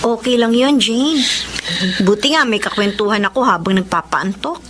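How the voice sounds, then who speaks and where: An actress playing a sweet, polite young woman with a gentle, respectful manner in a Filipino radio drama. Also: fairly high pitch, moderate pace, close to an everyday voice. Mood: friendly. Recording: noisy radio drama recording.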